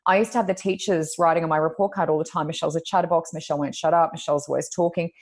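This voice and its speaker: A woman with a loud voice, a podcast co-host telling a personal story in casual conversation and acting out her own thoughts. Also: low-pitched, steady tone, racing.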